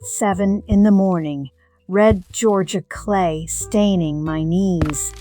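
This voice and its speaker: A woman playing a middle-aged retired firefighter telling her own story in an audio drama. Her voice sits fairly low and she goes very slowly, measured and reflective with a wry edge. Soft music runs underneath. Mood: reflective, wistful. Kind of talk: first-person scripted story narration.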